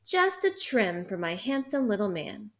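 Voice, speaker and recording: A gentle, loving mother, as a woman reads her. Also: mid-range voice, sing-song, a little slow. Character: loving, reassuring young mother.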